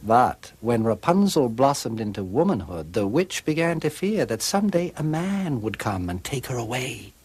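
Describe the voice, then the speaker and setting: A man narrating a scripted fairy tale as a storybook narrator, measured and expressive. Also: mid-range voice, husky. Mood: foreboding.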